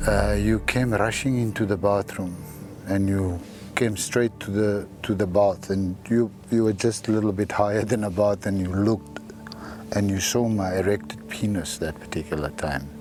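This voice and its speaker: An older man gives a documentary interview. His voice sits fairly low, and he keeps stumbling and repeating words as he searches for them. Music plays under him. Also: fairly even intonation, a little mellow. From former Yugoslavia. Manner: halting, many restarts and repeated words.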